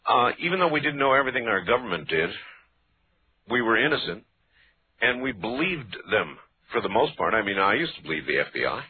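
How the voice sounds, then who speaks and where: A man in his fifties hosting a radio talk show, speaking casually with halting restarts and repeated words. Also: mid-range voice, big pitch swings, breathy edge. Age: 50s. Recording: tinny broadcast phone-band audio.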